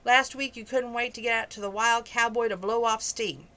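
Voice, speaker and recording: A woman's voice: fairly high pitch, fairly even intonation, moderate pace, bright, forceful tone. A woman reading as a blunt, doubting cowboy brother. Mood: skeptical. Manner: blunt, disbelieving.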